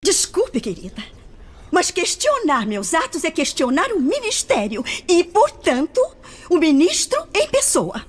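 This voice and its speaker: A woman's voice: very high-pitched, wildly swooping pitch, measured pace, heavily acted. A Brazilian woman dubbing a prim, authoritarian official for film, sugary yet menacing. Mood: sweetly menacing. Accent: Brazilian Portuguese. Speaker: voice actress, dubbing.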